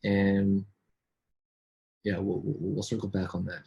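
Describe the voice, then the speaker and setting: A man's voice: low-pitched, completely flat delivery, a little slow, vocal fry, lo-fi sound. A man, born in New York and raised in Vancouver, talking casually as a business English coach, with frequent restarts and rhetorical questions. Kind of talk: casual conversation between colleagues.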